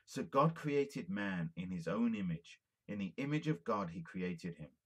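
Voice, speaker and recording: A man giving a solemn, measured reading of scripture with emphatic phrasing. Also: low voice, animated, husky. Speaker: amateur Bible reader.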